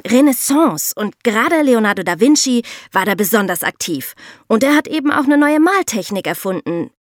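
A woman's voice: fairly high pitch, no echo, clean studio sound. A woman co-hosting an educational podcast for children, explaining things conversationally and addressing listeners directly. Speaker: podcast co-host explaining history.

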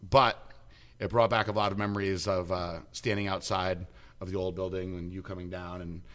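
A man's voice: sits low, level tone, normal speed, fairly bright tone. A man hosting a casual interview, upbeat and chatty with frequent restarts. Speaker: host.

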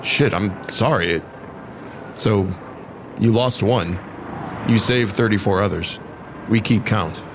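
An actor playing a concerned, steady police officer in a naturalistic audio drama, plain-spoken and a little halting. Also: male voice, on the low side. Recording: clear outdoor traffic and vehicle noise. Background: cars and urban outdoor ambience.

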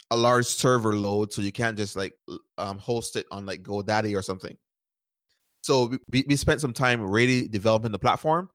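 A man from Barbados in his thirties, guesting on an interview show. His voice sits low, and he rambles easily with lots of fillers and repeated words. The recording is dry and close. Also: no echo. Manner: relaxed, repeats words and uses many fillers. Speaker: startup founder and podcast guest. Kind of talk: conversational interview answers.

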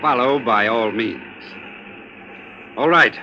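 An actor playing an arrogant young Nazi agent in a 1940s radio thriller, speaking in a formal, clipped, declamatory way. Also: male voice, mid-range voice, slow pace, soft background music, lightly acted. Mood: smug, commanding. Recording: muffled, noisy 1940s radio recording.

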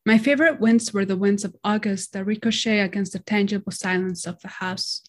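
A woman with a mid-pitched voice reads her poem aloud. Her delivery is measured and even. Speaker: poet. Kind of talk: poetry reading.

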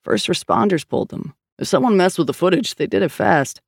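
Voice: medium pitch, animated, a little fast, vocal fry, slightly rough, no echo. Brief: a narrator voicing a weary male detective partner, audiobook, dry and offhand, dead close sound. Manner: dry and dismissive. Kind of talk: audiobook character voice.

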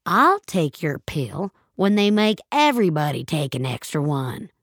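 A woman's voice: low-pitched, big pitch swings, a little slow, no echo, clean studio sound, full character voice. A woman voicing a stubborn, indignant elderly woman who is snappish and folksy, in an audio fiction story. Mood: stubborn, defiant.

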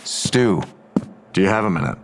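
An actor playing a sardonic, probing investigator with a dry, amused manner in an audio drama. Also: male voice, sits low, lively intonation, normal speed. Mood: dry curiosity. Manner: dry, sarcastic.

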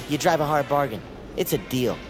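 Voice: medium pitch, natural voice. An actor playing a manic, paranoid, chatty psychiatric patient in an audio drama, rambling theatrically and slipping into whispers like a playful tour guide. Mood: sly.

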